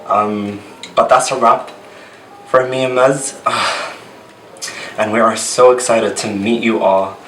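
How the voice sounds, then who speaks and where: A man's voice: medium pitch, fairly even intonation, slow pace, a bit bright. Young man in an echoey room. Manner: chatty, playful, self-mocking. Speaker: student presenter. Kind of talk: casual vlog outro. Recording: roomy, from a distance, music behind.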